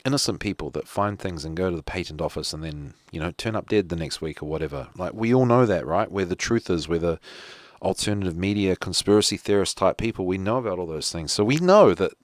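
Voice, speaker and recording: A man's voice: low-pitched, animated, quick pace, no room sound, clean studio sound. A man with a New Zealand accent hosting a casual solo talk show, rambling with lots of fillers and restarts. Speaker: podcast host.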